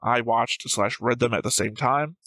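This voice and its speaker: A man's voice: mid-range voice, moderate pace, fairly bright tone, no room sound. Analytical man, casual co-host, dry close sound. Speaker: co-host of anime reaction show. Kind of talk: casual discussion, reading viewer comments.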